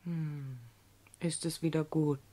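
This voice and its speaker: Young woman for radio drama: low, breathy, halting, scolding with concern underneath. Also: steady tone, warm tone. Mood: reproachful, concerned. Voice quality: husky, breathy. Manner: halting, half-whispered scolding. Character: reproachful young woman, concerned after her rage. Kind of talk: German radio play acting.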